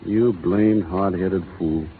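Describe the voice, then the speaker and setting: An actor playing a steady, earnest cowboy with a slow western drawl in a 1930s radio drama, tinny old broadcast sound. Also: male voice, deep voice, slight background noise.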